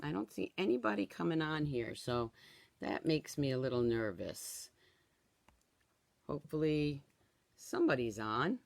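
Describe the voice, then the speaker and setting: A woman in her sixties, slightly hoarse from a cold, leading a live craft tutorial in a chatty way and often checking in with "okay?". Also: deep voice, very animated, unhurried, pauses often, no echo. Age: 60s. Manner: chatty, tags instructions with "okay?". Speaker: stamping craft demonstrator.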